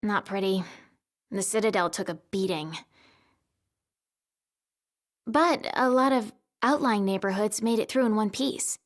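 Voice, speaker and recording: A woman's voice: mid-range voice, moderate pace, lightly acted. A woman playing a cheerful, friendly, teasing teenage girl in a video game cutscene. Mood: earnest.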